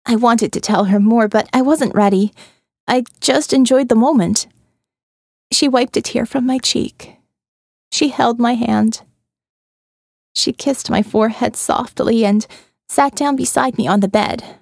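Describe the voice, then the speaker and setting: A woman narrating a first-person audio novel in a measured, intimate way. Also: on the high side, gravelly, darkish tone, dry recording, studio quality.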